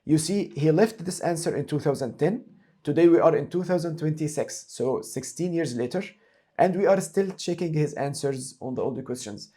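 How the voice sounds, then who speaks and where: Mellow-voiced man teaching software step by step. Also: fairly high pitch, moderate pace. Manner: step-by-step, explanatory, repeats key points. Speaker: software instructor. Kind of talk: screen-share tutorial narration.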